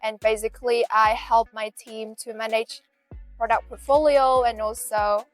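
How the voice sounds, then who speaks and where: A woman's voice: fairly high pitch, little pitch movement, normal speed, no room sound, soft background music. A woman from Vietnam, a student intern, giving brief, plain answers.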